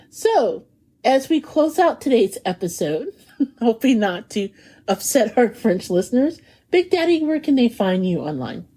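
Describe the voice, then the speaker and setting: A woman's voice: on the high side, very animated, unhurried, long pauses, warm tone, breathy edge. A woman co-hosting a food podcast in casual chat, bubbly and chatty, laughing often. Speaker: podcast co-host.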